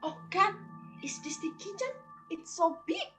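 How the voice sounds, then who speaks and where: A woman playing a polite, expressive wife and mother in a readers theater drama. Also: very high-pitched, unhurried, faint music behind, pitched above the performer's own voice, exaggerated voice. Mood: amazed. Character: wife and mother, impressed and friendly.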